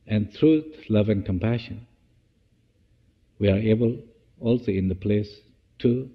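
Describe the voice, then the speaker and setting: A man with a fairly low, slightly husky voice guides a meditation. He speaks in short, halting phrases, feeling his way through each thought. The sound has a long hall-like reverb and is a little dull. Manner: halting, phrase-by-phrase delivery with restarts. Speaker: meditation teacher.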